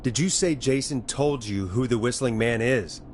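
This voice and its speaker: A man playing an earnest, caring late-night radio host in a measured, dramatic style. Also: mid-range voice, heavy background noise, lightly acted. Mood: intent. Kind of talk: dramatic game voice acting.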